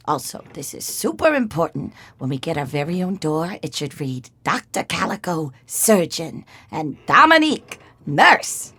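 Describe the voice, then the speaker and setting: A woman's voice: low voice, sing-song, measured pace, few pauses, sharp tone, slightly rough, no room sound, exaggerated voice. A woman playing a bold, blunt, confident female raccoon nurse in a comedic audio drama. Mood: eager, businesslike.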